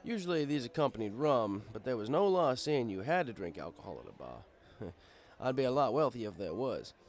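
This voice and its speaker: A man's voice: mid-range voice, very animated, normal speed. A man playing an easygoing, fatherly pony bartender who tells his story in a wry, conversational way. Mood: reflective. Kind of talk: first-person audiobook narration in character.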